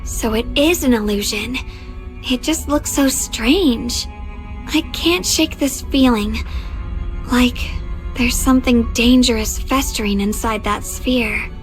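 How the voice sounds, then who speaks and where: An actress voicing an earnest, brave young heroine in an anime-style video game. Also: female voice, fairly high pitch, takes it slowly, faint music behind. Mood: uneasy.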